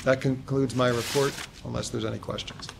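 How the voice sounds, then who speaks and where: An American man giving a formal, businesslike status report to a board, reading from notes a little haltingly. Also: medium pitch, steady tone. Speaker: construction executive reporting to a board. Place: large conference room with long table. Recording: clear background noise and clicks.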